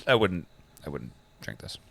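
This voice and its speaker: A man's voice: extremely low pitch, sing-song, normal speed, vocal fry, crowd noise. A young man co-hosting a podcast in casual chat, joking and laughing often. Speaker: podcast co-host.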